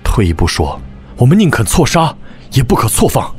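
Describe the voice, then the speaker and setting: A young nobleman from a wuxia radio play, with lively swooping intonation at a middling pitch. He is formal, calculating and smoothly ingratiating. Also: moderate pace, faint music behind. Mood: suspicious, persuasive. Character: scheming young aristocrat, suspicious, smoothly ingratiating schemer. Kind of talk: Chinese wuxia audio drama acting.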